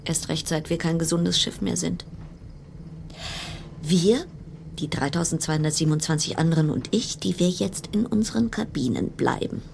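An actress playing a brittle, self-reassuring woman isolated in a ship's cabin, musing haltingly and repetitively in in a radio play, at times dropping into whispers. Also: deep voice, creaky, darkish tone, slight background noise. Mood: hushed unease. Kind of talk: German radio play monologue.

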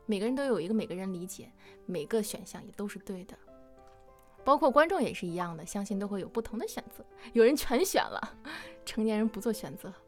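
A woman's voice: medium pitch, expressive, fast, faint music behind. A woman hosting a playful live-stream question-and-answer session. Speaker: host of a live Q&A with voice actors.

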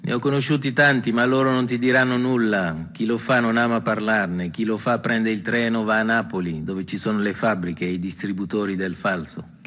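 An actor playing a weary migrant street vendor who dreams of home, in a theatrical monologue. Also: male voice, lowish voice, steady tone, normal speed, fairly bright tone, lightly acted. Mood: resigned. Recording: reverberant hall with music behind.

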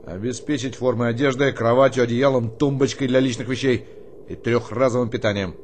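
An actor playing a middle-aged army colonel in a radio drama, authoritative and sardonic, moving between muttering and barking orders. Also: male voice, mid-range voice, steady tone, a little fast, a little mellow, slight background noise, lightly acted. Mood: resolute, commanding. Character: male army colonel, weary commanding unit officer.

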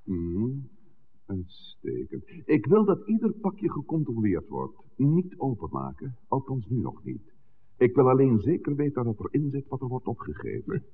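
An actor playing a composed, authoritative village priest in a 1960s Dutch radio play, deliberate and given to dramatic exclamations. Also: male voice, mid-range voice, huge pitch swings. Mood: measured, firm. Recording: tinny, noisy old radio recording.

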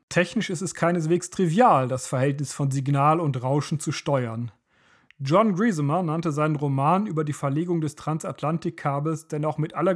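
A man narrating a scripted radio essay in a measured, lecturing way. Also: fairly high pitch.

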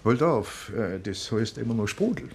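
An actor playing a good-humoured provincial police inspector with a Swabian accent and a folksy, rambling manner in a radio play. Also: male voice, on the low side, expressive, moderate pace, vocal fry, slight background noise. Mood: teasing.

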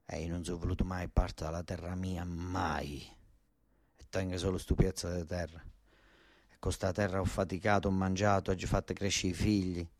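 Actor as a defiant old southern Italian farmer, closely recorded. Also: male voice, low voice, level tone, moderate pace, no echo, voice pushed down. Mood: stubborn. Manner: oratorical, repetitive, rustic dialect phrasing. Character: elderly illiterate southern farm labourer, angry, defiant, stubborn father. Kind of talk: theatrical monologue.

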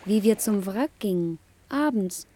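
A woman's voice: mid-range voice, expressive, slight background noise, natural voice. A woman playing a dreamy young daughter in a radio play, wistfully coaxing and pleading with her mother. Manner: slow, wistful, gently coaxing.

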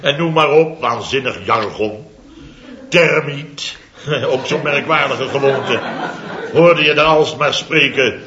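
An actor playing a blustering male company boss who laughs through his lines, in 1960s radio comedy style, on a lo-fi old radio recording with audience laughter in a roomy hall. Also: a little high. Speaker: boss of a building firm.

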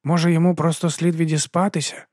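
A man's voice: on the high side, little pitch movement, quick pace, darkish tone, no room sound, studio quality, voice pushed up, close to an everyday voice. A man reading an audiobook and voicing a pale, anxious jester who speaks with worried urgency.

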